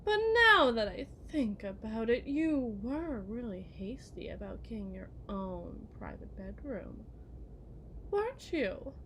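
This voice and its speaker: A woman's voice: a little high, fairly bright tone, a little hiss or hum, full character voice, rough recording. A woman playing a genteel, possessive kidnapper wife in a theatrical ASMR roleplay, condescending and syrupy sweet with veiled menace. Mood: mock-polite needling. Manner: mannered, condescending, syrupy endearments, veiled threats.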